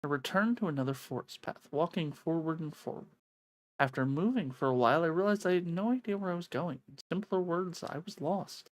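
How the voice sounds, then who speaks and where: An expressive, excitable streamer reading a visual novel aloud in a dramatic way, with casual sweary reactions. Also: medium pitch, a little fast, dry recording.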